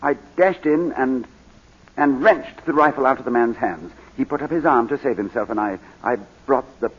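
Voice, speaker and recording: Male actor as anguished British gentleman veteran, halting and husky, vintage lo-fi radio drama. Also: mid-range voice, natural voice. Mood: haunted. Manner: halting, stammering, overwrought. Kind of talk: 1940s radio drama acting. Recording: dull, lo-fi 1940s radio recording.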